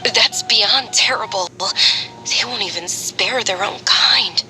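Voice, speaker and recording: An actress playing a refined, caring young noblewoman in a video game, speaking politely, gently and earnestly. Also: mid-range voice, slow, close to an everyday voice, poor audio. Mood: dismayed. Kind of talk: scripted video game voice acting. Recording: game music behind the voice.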